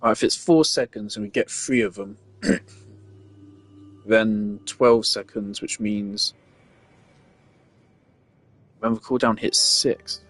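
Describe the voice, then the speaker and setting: A man giving casual gameplay commentary, thinking out loud with fillers and the odd swear. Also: lowish voice, fairly even intonation, slow pace, pauses often. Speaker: gamer testing team setups.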